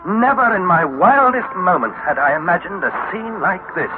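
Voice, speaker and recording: An actor playing an eager young English nephew, narrating and speaking dramatically in the style of 1960s radio adventure. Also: male voice, high-pitched, moderate pace, loud music behind the voice. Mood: awed. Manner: dramatic, articulate, excitable. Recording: tinny, noisy vintage radio recording.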